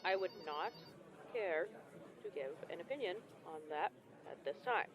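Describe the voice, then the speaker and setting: An actor playing a wry biologist who teases colleagues dryly, in an audio drama. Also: male voice, high voice, lively intonation, a little slow, low-quality recording. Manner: dry, teasing.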